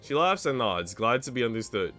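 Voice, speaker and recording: A man's voice: mid-range voice, very animated, fairly quick, a bit bright, faint music behind. A man streaming a visual novel, reading its narration aloud expressively with casual commentary.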